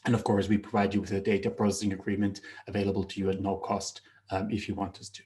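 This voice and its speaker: A man's voice: sits low, level tone, quick pace, a little mellow. A middle-aged man, presenting his company's services in a webinar over a video call, with many ums and uhs and restarts. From Finland. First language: Finnish. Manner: frequent um and uh fillers, restarts mid-phrase. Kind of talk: product presentation.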